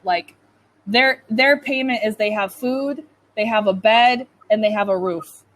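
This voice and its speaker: A woman's voice: mid-range voice, a little slow, a bit bright. A woman with a North American accent tutoring English in casual, informal conversation, often saying "like". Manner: chatty, informal, says "like" often. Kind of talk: casual one-to-one lesson chat. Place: online video call lesson.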